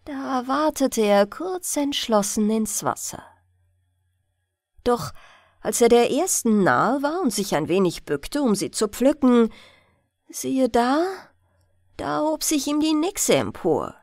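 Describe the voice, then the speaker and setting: A woman reading a fairy tale as a bedtime audiobook, in an expressive storytelling manner. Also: fairly high pitch, slow, no room sound, clean studio sound. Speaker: audiobook reader.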